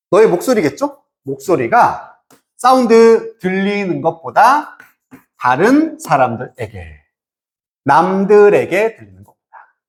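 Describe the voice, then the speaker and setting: A man teaching English in an explanatory lecture, emphatic and informal, often asking questions and answering them himself. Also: sits high. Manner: asks and answers own questions, checking understanding. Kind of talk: explanatory exam-prep lecture.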